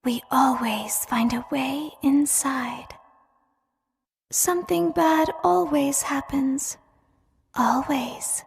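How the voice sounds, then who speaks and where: Horror game villain: predatory robot fox, very slow, creaky, breathy menace. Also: female voice, high-pitched, exaggerated voice. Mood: sinister whisper. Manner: breathy whispering, slow, sinister. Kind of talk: video game villain voice lines. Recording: long reverb.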